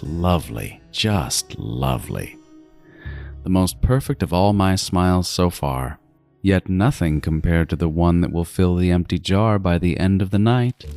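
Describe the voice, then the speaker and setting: An actor playing a meticulous, coldly fond serial killer who narrates a horror audio drama in a measured, savoring manner. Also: male voice, low voice, heavily acted. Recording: music behind the voice.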